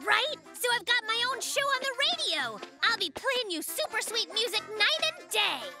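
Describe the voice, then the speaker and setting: A woman voicing a cocky, excitable young male bear cub with showy announcer patter in an animated cartoon. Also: very high voice, normal speed, loud music behind the voice, exaggerated voice. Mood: showy, proud.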